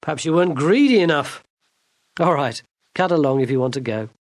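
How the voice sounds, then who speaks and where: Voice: mid-range voice, expressive, brisk, no room sound, clean studio sound, natural voice. A storyteller voicing an easygoing grown man, offhand and amused.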